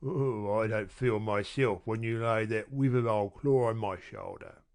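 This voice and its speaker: A woman reading an audiobook, voicing a gruff, menacing male robber in a lower, louder voice. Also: steady tone, unhurried. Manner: gruff, threatening.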